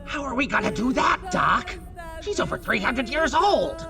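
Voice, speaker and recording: A woman playing a blunt, sardonic studio sidekick with a gravelly voice and deadpan delivery in a horror comedy drama. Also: high voice, normal speed, full character voice. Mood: dry, sardonic. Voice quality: gravelly, creaky. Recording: music behind.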